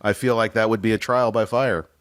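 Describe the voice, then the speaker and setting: A man's voice: fairly low pitch, steady tone, a little fast. A man hosting a comedic debate like a game show, switching between theatrical announcing and casual hesitation.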